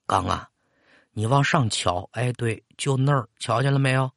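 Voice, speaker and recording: Man voicing a hushed, coaxing old grandmother. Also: mid-range voice, very animated, normal speed, dry recording, clean studio sound. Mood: gentle, secretive. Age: older. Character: hushed, doting, ghostly old grandmother, gently scolding.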